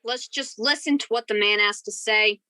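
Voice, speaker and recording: A woman's voice: medium pitch, normal speed, pushed and bright, no room sound, close to an everyday voice. A woman playing a hot-tempered, protective lover in a radio crime drama. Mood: protective, combative. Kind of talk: old-time radio crime drama acting.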